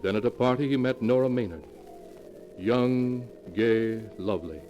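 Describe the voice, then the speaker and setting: An actor playing a mysterious keeper of dark tales, narrating formally in a 1940s radio mystery show. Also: male voice, mid-range voice, lo-fi sound, lightly acted. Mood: ominous storytelling. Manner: formal, measured storytelling. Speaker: narrator, host. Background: music.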